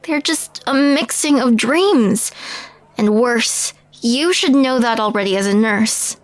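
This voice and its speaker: A woman playing a skeptical, easily shocked alien roommate in a scripted audio drama, questioning her friend with measured disbelief. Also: a little high, no room sound, rough recording. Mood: wary, reasoning. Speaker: voice actor in audio roleplay.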